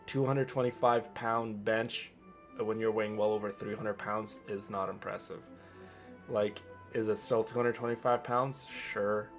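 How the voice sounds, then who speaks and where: A man's voice: lowish voice, fairly even intonation, a little slow, faint music behind. A man streaming casually, talking in a boastful, rambling and repetitive way to his audience. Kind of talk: casual livestream chat.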